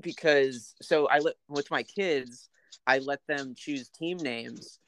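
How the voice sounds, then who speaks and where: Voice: mid-range voice, slow, fairly bright tone. A podcast host chatting casually with a friend, asking loose, meandering questions and laughing along. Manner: friendly, rambling prompts with fillers and restarts. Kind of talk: casual chat.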